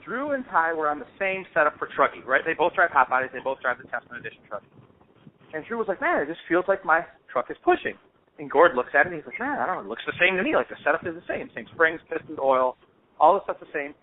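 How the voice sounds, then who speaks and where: Fast-talking man on a dull phone recording. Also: a little high, sing-song, slight background noise. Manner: informal, slangy, rambling. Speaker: host of a hobby radio-controlled racing call show. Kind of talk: casual phone chat, anecdotal storytelling. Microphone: phone call. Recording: dull lo-fi phone-call audio.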